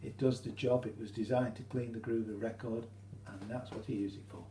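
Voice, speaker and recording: British male hobbyist demonstrator. Relaxed, dark tone, hesitant informal delivery, faint hum in the recording. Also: medium pitch. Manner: hesitant, halting, explanatory. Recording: roomy echo, faint hum.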